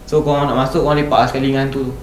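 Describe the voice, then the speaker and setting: A man's voice: medium pitch, flat delivery, very fast, slight background noise. A young man with a Malaysian accent, chatting casually as he tells ghost stories. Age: young adult. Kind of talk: casual ghost-story chat. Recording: roomy, distant mic, faint music.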